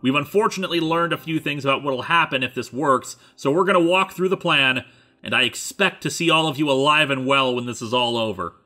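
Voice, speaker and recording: A man's voice: on the high side, brisk, bright, forceful tone. A man playing an earnest, self-critical leader of an embattled group in a web film, speaking emphatically. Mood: rallying. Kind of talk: scripted web film dialogue.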